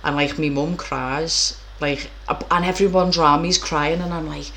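A woman's voice: low-pitched, steady tone, unhurried, a bit bright, a little hiss or hum. A young woman, interviewing a guest in a blunt, chatty, casual way with some swearing. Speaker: interviewer, professional boxer. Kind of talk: casual chat.

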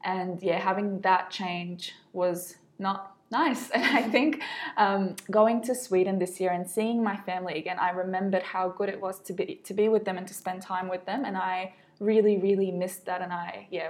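Young syrian aussie woman, medium pitch, casual storytelling, says like and you know a lot. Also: fairly even intonation, normal speed. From Aleppo, Syria. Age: early 20s. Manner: informal, reflective, frequent "you know" and "like". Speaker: interview guest.

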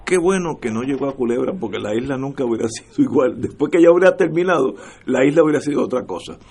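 An impassioned male radio host with a Puerto Rican accent gives political commentary. His voice is husky and mid-pitched. He speaks with emphasis, repeating short phrases to drive the point. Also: animated, fast talker, darkish tone. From Puerto Rico. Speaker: radio talk show host.

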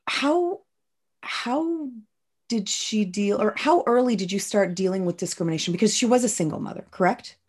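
A woman hosting a podcast, interviewing her guest carefully and gently, and often stopping to clarify points. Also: medium pitch, normal speed. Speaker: podcast host.

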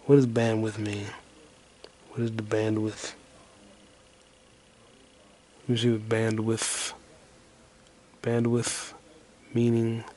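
Twenties male podcast host, solo rambler, deep flat delivery, low energy, half-whispered, faint noise floor. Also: slow, pauses often. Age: 20s. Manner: low-key, detached, mumbling.

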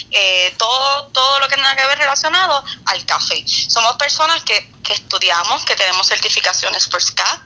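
A young Puerto Rican woman chatting casually as an interview guest, explaining things in an expressive, talkative way. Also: on the high side, muffled recording. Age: young adult. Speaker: guest, barista and coffee YouTuber.

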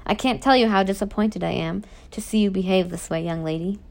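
A woman narrator plays a furious middle-aged prison warden from a children's novel. He is gravelly and forceful, scolding with stern, accusatory authority. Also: medium pitch, a little fast, natural voice. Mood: cold, disappointed.

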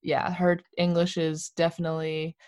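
A young woman talking casually with friends, her voice fairly low and calm. She is relaxed and thoughtful, pausing on small fillers now and then. The sound is dry and close. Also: smooth, no room sound. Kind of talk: casual chat.

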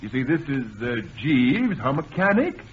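A man's voice: medium pitch, very animated, moderate pace, natural voice. A man playing a flustered, stammering bluffer with mock-genteel manners in an old radio comedy. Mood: bluffing, genteel. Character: flustered suitor bluffing his way out, posing as chauffeur. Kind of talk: 1930s radio comedy acting. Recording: muffled, hissy old radio broadcast.

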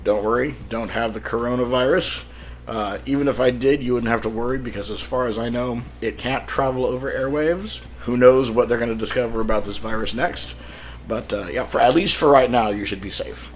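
A man hosting a solo podcast in a casual, wryly joking manner, his voice a little rough from being sick. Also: mid-range voice, a little fast. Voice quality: slightly rough, throat-clearing. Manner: wry, self-deprecating joking. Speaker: podcast host and author.

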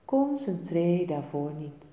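A woman teaching yoga nidra, giving guided relaxation instructions in a calm, soothing, measured way with pauses between short phrases. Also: on the low side. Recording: echoey room with long reverb.

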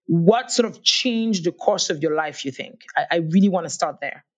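A woman's voice: on the low side, animated, normal speed, muffled recording. A woman from Africa hosts a podcast interview, talking in an eager, effusive way. She speaks over a video call, often restarting her sentences as she goes. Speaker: podcast host.

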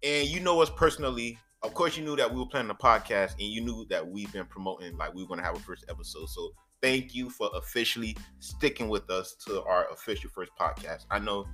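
Male podcast co-host, low-pitched yet bright, laid-back and rambling. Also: a little fast, hardly any pauses, soft background music. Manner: easygoing, rambling with many ums. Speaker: podcast co-host and clothing brand owner. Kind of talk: casual podcast chat.

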